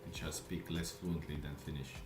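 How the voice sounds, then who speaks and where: A man with a Russian accent, live streaming and chatting casually with viewers, speaking in a deliberately lazy, relaxed way. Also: very deep voice, roomy sound, noisy background. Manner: intentionally lazy, relaxed way of talking.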